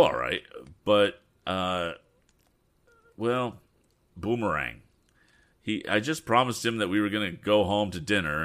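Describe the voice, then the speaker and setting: A man's voice: low-pitched, fairly bright tone. A man voicing a folksy, apologetic, stubborn mule driver from a storybook, with a hesitant, rambling dialect.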